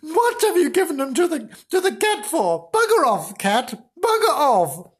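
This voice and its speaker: A shrill, high-pitched housewife, as put on by a storyteller, scolds in exasperation. She exclaims and repeats herself, swooping about like a bossy character in a comic children's storybook. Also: very animated, measured pace, exaggerated voice. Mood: outraged scolding.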